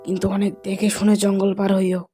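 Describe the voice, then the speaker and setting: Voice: medium pitch, monotone, fairly quick, dark tone, soft background music, natural voice. Woman voicing a concerned village uncle. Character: concerned older village uncle, kindly and cautious. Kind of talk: cartoon dialogue.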